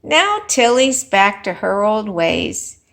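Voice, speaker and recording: A woman teacher reading a storybook aloud and talking to young children, expressive, with questions and pauses for them. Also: mid-range voice, creaky. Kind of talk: animated storybook read-aloud and lesson.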